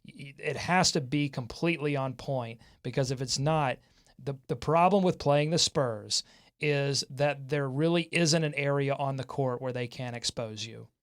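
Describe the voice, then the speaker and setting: A man hosting a sports talk show in an excitable, jokey way. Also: mid-range voice, normal speed. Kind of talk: lively sports talk with co-hosts.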